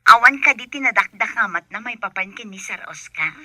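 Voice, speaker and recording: A woman's voice: a little high, animated, a little fast, sharp tone, no room sound, full character voice. A woman acting a cautious, worried, loyal employee in an Ilocano radio drama, with an Ilocano Filipino accent. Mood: concerned, cautious.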